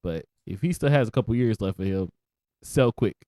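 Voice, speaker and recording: A man's voice: lowish voice, moderate pace, dry recording. Laid-back congested young man, dry sound. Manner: relaxed, laid-back slang. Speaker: podcast co-host. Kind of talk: casual chat.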